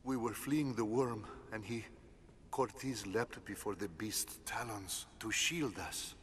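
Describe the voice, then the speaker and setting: Deep solemn villager from a fantasy game, sad and ashamed, bit of rumble behind. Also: male voice, slow pace. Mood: guilty, grieving. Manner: solemn, archaic phrasing. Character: grieving, guilt-ridden male villager ashamed of his cowardice. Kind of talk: fantasy video game voice acting.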